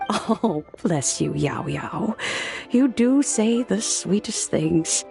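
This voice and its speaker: A gentle, lilting old grandmother. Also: medium pitch, animated, takes it slowly, creaky. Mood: gentle, fond. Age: older. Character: kindly elderly grandmotherly woman, gentle and teasing. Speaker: elder of the town. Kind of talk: game cutscene voice acting. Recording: game audio with soft background music.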